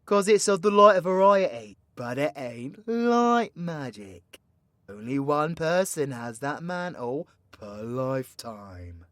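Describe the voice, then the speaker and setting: A cocky British supervillain, acted by a man in an audio drama, close and dry. His slightly high voice swings widely as he schemes and teases with theatrical, sweary slang. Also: sing-song, takes it slowly, a bit bright, dry recording, full character voice. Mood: teasing, instructive. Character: cocky, foul-mouthed male supervillain plotting mayhem, teasingly mentoring ally. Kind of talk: villain roleplay audio drama, intimate monologue to listener.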